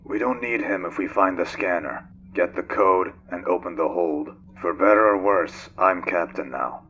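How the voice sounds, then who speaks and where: Low, brooding, dry space captain man acting. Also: moderate pace, fairly bright tone, muffled recording, a little hiss or hum. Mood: firm, grave. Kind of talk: dramatic fan dub of a video game. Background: music.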